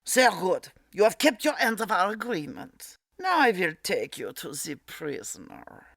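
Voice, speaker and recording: A woman's voice: mid-range voice, big pitch swings, measured pace, creaky, a bit bright, no room sound, clean studio sound, heavily acted. A woman playing an evil, fanatical Nazi supervillain queen in a comedic radio play, with a German accent and a grandiose, menacing manner. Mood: cold, commanding.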